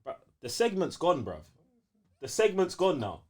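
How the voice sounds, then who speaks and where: A man with a London accent hosting casual podcast banter, slangy, teasing and quick to challenge the others. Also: fairly high pitch, huge pitch swings, a little mellow, breathy edge. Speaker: podcast host steering the talk.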